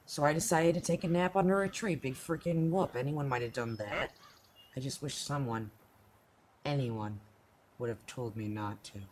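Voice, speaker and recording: A man's voice: mid-range voice, normal speed, a little mellow, a little hiss or hum, lightly acted. A man playing a hot-headed, bitter teenage boy in an amateur radio play, with an informal, grumbling manner. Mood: rueful, bitter. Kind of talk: amateur radio play acting, first-person narration.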